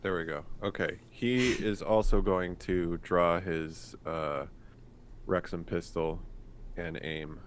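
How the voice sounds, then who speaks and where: Man, deep voice, slow pace, casual tabletop player, faint background noise. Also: fairly even intonation. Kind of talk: casual game chat.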